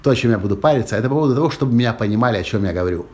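A man's voice: medium pitch, animated, racing, a little mellow. A man with a Russian-Ukrainian accent hosting a motivational podcast, talking straight to listeners and repeating his key phrases for emphasis. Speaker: podcast host.

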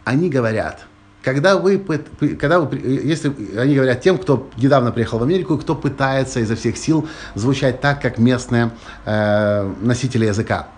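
Brief: male podcast host, Russian-Ukrainian accent, emphatic motivational monologue, medium pitch. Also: brisk, a little mellow, a little hiss or hum. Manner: emphatic, repeats key phrases, small restarts.

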